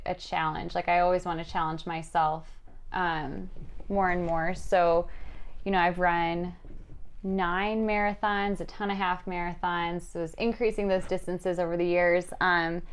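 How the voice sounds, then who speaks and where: A woman's voice: lowish voice, fairly even intonation, measured pace, clean tone. A woman co-hosting a casual podcast, talking modestly with frequent ums and light laughs. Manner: modest, giggly, many ums. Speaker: podcast co-host. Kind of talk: casual chat.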